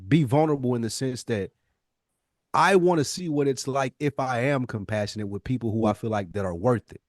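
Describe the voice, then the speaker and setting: A man's voice: medium pitch, a little fast, no echo, clean studio sound. An American man in casual podcast conversation, speaking emphatically in slang and acting out imagined dialogue. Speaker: podcast co-host.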